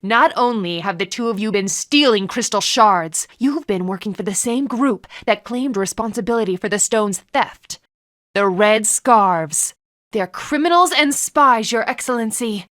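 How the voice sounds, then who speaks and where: A woman's voice: a little high, expressive, unhurried, a bit bright, dry recording, studio quality. An actress voicing a stern, haughty female panda palace enforcer in a video game, formal, commanding and disdainful. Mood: accusing.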